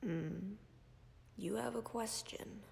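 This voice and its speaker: A woman voicing a calm, ancient female dragon who tends a wounded human, speaking in a whispery, gravelly, measured and formal way in an audio roleplay. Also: medium pitch, long reverb tail. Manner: measured, formal, dryly commanding.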